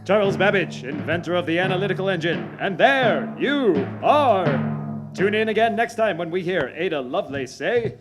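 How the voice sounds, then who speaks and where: A man's voice: high-pitched, animated, normal speed. A man playing a brash, bombastic radio newsman and announcer in a mock old-time radio show, punchy and theatrical. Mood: grandiose. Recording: live show with music behind.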